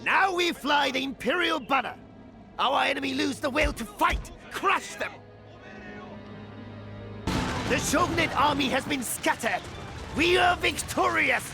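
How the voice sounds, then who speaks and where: An actor playing a fierce samurai officer rallying his troops in a video game, commanding and urgent, with a strained gravelly voice. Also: very high voice, expressive, normal speed, heavily acted. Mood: triumphant, commanding. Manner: commanding, urgent, shouted battle cries. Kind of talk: dramatic video game battle acting. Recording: loud music underneath. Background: dramatic music.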